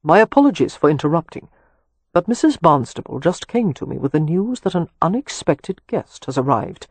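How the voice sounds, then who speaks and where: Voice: medium pitch, very animated, normal speed, a little mellow, breathy edge, dry recording. A reader voicing a dryly ironic young man. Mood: wry. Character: wry, guarded young man. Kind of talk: audiobook character reading.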